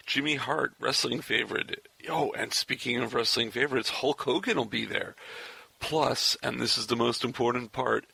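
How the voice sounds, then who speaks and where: A man with a heavily gravelled, forceful voice hosts a podcast. He speaks straight to his listeners in folksy announcer patter, with a husky rasp running through his delivery. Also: mid-range voice, poor audio. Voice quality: gravelly, raspy. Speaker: podcast host. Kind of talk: interview show hosting and sign-off announcements.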